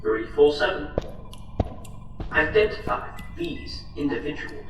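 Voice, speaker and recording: A man's voice: a little high, very animated, measured pace, dull audio. A man playing a wary, authoritative android officer in a sci-fi audio drama, speaking formally and with command. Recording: echoey hall, distant, noisy ambience.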